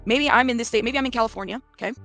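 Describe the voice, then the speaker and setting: A woman's voice: fairly high pitch, very fast, a bit bright, faint music behind. A woman with an American accent giving informal, emphatic commentary as a host, bluntly explaining things to her audience with rhetorical questions and checks like okay and right.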